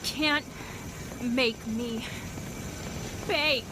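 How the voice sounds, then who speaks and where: An actress playing a fierce, defiant, blunt gang fighter in a video game cutscene. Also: female voice, fairly high pitch, drawn-out words, noisy background. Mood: defiant fury.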